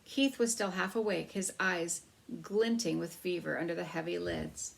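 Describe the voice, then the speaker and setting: A woman's voice: fairly low pitch, fairly even intonation, normal speed, vocal fry, poor audio. A woman storyteller reading a children's novel aloud.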